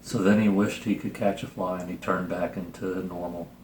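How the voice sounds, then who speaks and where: A man's voice: deep voice, little pitch movement, normal speed, a little mellow. A middle-aged man with dry, wry humor hosting a casual picture book reading and review. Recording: roomy echo, noticeable background hiss.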